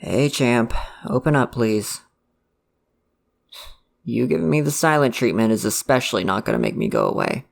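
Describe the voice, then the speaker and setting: A performer playing a nervous, loving, jokey adoptive father in an ASMR roleplay, speaking in a halting, conversational way with a creaky voice. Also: mid-range voice, very animated, full character voice. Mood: firm, concerned. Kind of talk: ASMR audio roleplay, one-sided dialogue.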